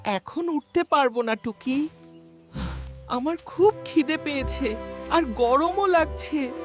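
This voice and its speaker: A woman's voice: high voice, takes it slowly, music in the background. A woman voicing a hungry, childish young animal in a children's cartoon. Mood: whiny.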